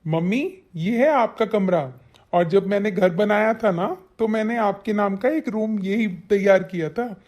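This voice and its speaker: A man's voice: sits high, measured pace, a little mellow. A man voicing a caring adult son and husband in a family cartoon, speaking in an earnest, instructing way. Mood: affectionate. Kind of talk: Hindi family cartoon story dialogue.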